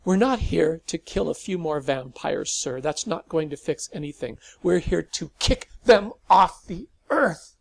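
A nervous, earnest teenage boy stammers and rushes through his plea, as a male reader puts him on. The voice is pitched up and gravelly, with a husky edge. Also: animated, no room sound, exaggerated voice.

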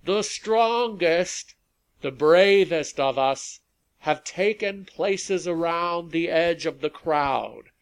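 A man voicing a confident, defiant activist leader in a story, declaiming loudly and deliberately to rally a huge crowd. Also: a little high, smooth, voice pushed up. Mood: solemn, rousing. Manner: declamatory, loud, deliberate oratory with drawn-out words. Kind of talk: speech in a narrated story.

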